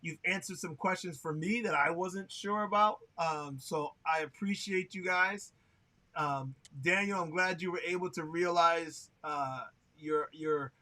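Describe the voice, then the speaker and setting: A man hosting a relaxed live chat over a video call. His voice is bright and a little higher than most men's. He talks loosely, laughing often and filling pauses with "um.". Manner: chatty, laughs often, many "um" fillers. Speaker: show host. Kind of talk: casual livestream interview.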